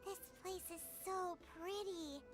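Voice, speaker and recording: A woman voicing a tiny, childlike, excitable fairy companion in a video game. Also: very high-pitched, slow pace. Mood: delighted. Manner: speaks of herself in third person, exclamatory. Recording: loud game music behind voice.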